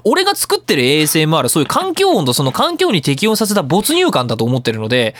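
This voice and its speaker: A man's voice: on the high side, huge pitch swings, quick pace, fairly bright tone. A man hosting a radio talk show, casually and emphatically explaining things. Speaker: radio show host and sound director.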